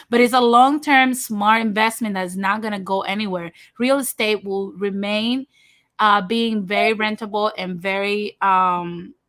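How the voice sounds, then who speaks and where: A woman's voice: medium pitch, fairly bright tone, dry recording. A woman from Puerto Rico in her thirties, hosting a casual livestream chat and talking frankly and directly to her viewers. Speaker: host of a livestream talk show.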